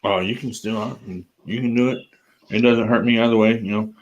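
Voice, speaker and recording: A man with a lowish voice hosts a casual livestream, easygoing and agreeable. He chuckles along and chats about his channel. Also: steady tone, fairly quick, dull audio. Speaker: livestream host. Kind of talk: casual video chat.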